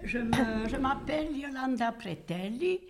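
An older woman from Belgium talks on and on in a rambling, chatty way, full of little fillers. Her voice sits a bit high and has a creaky edge. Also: animated, a little slow, crowd noise. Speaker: nursing home resident, interviewee. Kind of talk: casual interview answers.

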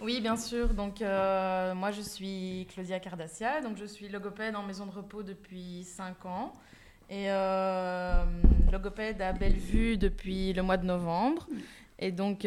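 A woman speech therapist explaining her work in a measured way, with filler words. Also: medium pitch, darkish tone, slight background noise. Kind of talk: professional explanation in an interview.

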